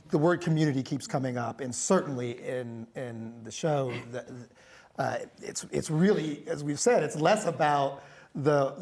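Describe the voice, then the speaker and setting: A man's voice: on the high side, darkish tone. A man moderating a panel, framing a question thoughtfully with hesitations.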